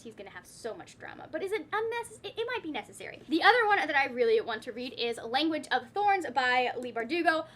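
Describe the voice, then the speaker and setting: A woman with a high, bright voice talks casually to her viewers as a book vlogger. She is chatty and wry, breaking into her own sentences with asides to herself. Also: a little hiss or hum.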